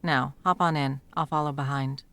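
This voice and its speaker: Brash, foul-mouthed sorceress played by a woman, low and creaky. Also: steady tone, moderate pace, background noise. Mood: focused. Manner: sweary, bossy, teasing. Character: brash, foul-mouthed, teasing female sound mage, secretly smitten. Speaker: audio roleplay voice actor. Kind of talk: intimate second-person audio roleplay acting.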